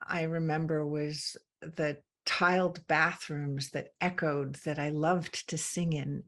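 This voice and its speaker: Slow, low-voiced thoughtful woman. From England. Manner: slow, thoughtful, with many pauses and ums. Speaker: interview guest. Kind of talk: reflective conversation.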